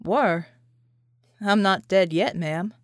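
Woman voicing a low, laconic soldier guy. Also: takes it slowly, no room sound, clean studio sound, lightly acted. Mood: teasing. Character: laconic, wry soldier man, polite and easygoing. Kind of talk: audiobook character dialogue.

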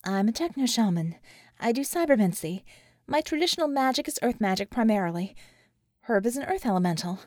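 A woman's voice: fairly high pitch, lively intonation, fairly quick, a little mellow, no echo, studio quality, close to an everyday voice. A woman voicing a nervous, earnest female techno-magician, hesitant and wry, in an audiobook. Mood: dry, matter-of-fact.

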